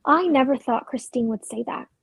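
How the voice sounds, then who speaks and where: A woman's voice: sits high, normal speed, gravelly, breathy edge, dry recording, full character voice. A woman playing a guarded, conflicted sister, earnest and defensive, in a dramatic play reading. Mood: hurt, guarded.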